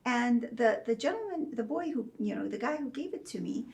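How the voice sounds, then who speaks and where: Creaky-voiced thoughtful woman guest. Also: fairly high pitch, animated. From Saudi Arabia. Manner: measured and thoughtful, with frequent soft fillers. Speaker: guest, professor of religion. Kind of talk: reflective interview storytelling.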